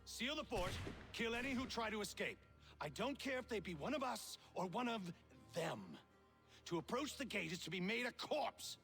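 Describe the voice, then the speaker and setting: A man's voice: high voice, expressive, moderate pace, vocal fry, sharp tone, heavily acted. A man playing an imperious, enraged fort commander villain in a video game cutscene, with pompous, theatrical delivery. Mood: menacing, commanding. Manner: pompous, theatrical, ornate orders. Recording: long hall reverb, music behind.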